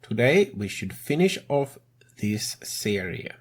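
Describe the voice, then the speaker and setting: Slow, breathy non-native man. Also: fairly low pitch, big pitch swings. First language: not English. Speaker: tutorial host. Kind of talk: game tutorial narration.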